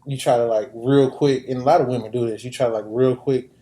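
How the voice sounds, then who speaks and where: A man's voice: mid-range voice, fairly even intonation, quick pace, warm tone. A man hosting a podcast, chatting casually and teasingly with lots of fillers, with faint music behind. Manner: laid-back, teasing, many fillers like "like". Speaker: podcast host. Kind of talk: casual podcast chat and show intro.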